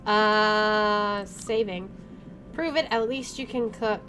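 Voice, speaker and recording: A woman's voice: medium pitch, steady tone, takes it slowly, sharp tone. A woman hosting a visual novel playthrough, reading the narration aloud and commenting in an informal, reactive way. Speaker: gaming video host. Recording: music behind the voice. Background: game music.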